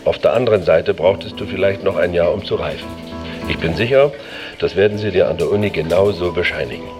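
An actor playing a stern middle-aged father who speaks in a formal, measured, condescending way in a radio drama. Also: sits low, music in the background, natural voice. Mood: disparaging. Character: stern, belittling father making a stiff confession.

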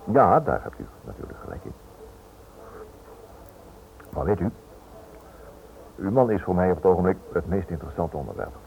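A smooth, nosy writer in a radio play. His low voice moves with lively swings, politely insinuating and never letting go. The old recording sounds dull and noisy. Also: normal speed, long pauses, exaggerated voice. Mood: insinuating, probing. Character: smooth, prying male writer probing a prisoner's wife.

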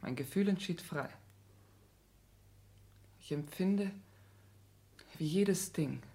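An actress playing an earnest, proud young countess and dutiful daughter in a 1960s German radio drama, with formal, often hushed delivery. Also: female voice, sits low. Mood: earnest, yielding.

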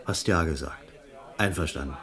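Radio drama role: gruff, derisive worker with a very low voice. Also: male voice, moderate pace, fairly bright tone, full character voice. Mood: scornful. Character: gruff, scornful worker teasing a simpleton. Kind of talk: German radio play acting.

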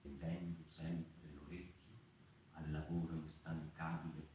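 An actor playing an anguished, sleepless man haunted by mice, in a theatrical monologue with halting, deliberate phrasing and dramatic pauses. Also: extremely low pitch, flat delivery, warm tone. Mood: weary, fearful. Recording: roomy, distant stage recording with faint noise.

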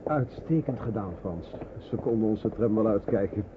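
An actor playing a calm, protective man escorting someone in a wartime radio play, with a reassuring, brisk and discreet manner. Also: fairly low pitch, close to an everyday voice. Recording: tinny noisy old radio play recording.

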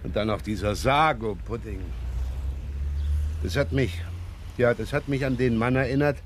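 A man's voice: medium pitch, moderate pace, a little hiss or hum, close to an everyday voice. A man acting a shrewd, rumpled, talkative provincial police inspector in a radio crime drama, probing and rambling with wry repetitions.

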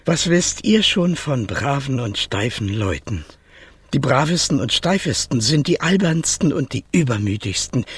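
An actor playing a ragged, stubborn former mayor with a croaky, husky voice, speaking deliberately and scolding in a German radio play. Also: male voice, medium pitch, a bit bright, no room sound, full character voice.

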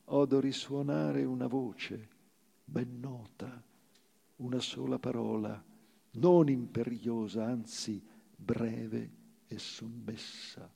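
A man giving a solemn, measured dramatic recitation of literary prose on stage, with deliberate pauses. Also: mid-range voice, vocal fry, warm tone, slightly rough. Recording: live theatre recital with long hall reverb.

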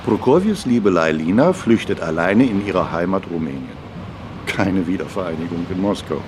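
A man's voice: low-pitched, expressive, normal speed, noisy background. A man narrating a scripted radio play in short, emphatic phrases, with music behind him. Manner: clipped, emphatic recap. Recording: music and train sound behind.

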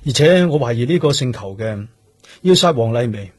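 A man acting in a Cantonese radio drama as a stubborn, brooding police detective, with a husky voice and Hong Kong accent. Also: mid-range voice, animated, normal speed, a little mellow, dry recording, studio quality. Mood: stubborn, cool.

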